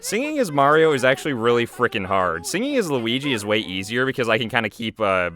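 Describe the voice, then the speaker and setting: A man's voice: mid-range voice, big pitch swings, quick pace, crowd noise. A man who creates and voices videos, giving informal, musing behind-the-scenes commentary in a casual way.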